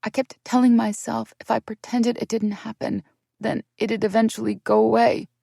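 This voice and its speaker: A woman's voice: a little high, little pitch movement, normal speed, gravelly, mellow, no room sound, clean studio sound, voice pushed up. A woman reading an audiobook voices a distraught young woman confessing a painful secret, her speech pleading and breaking into tears.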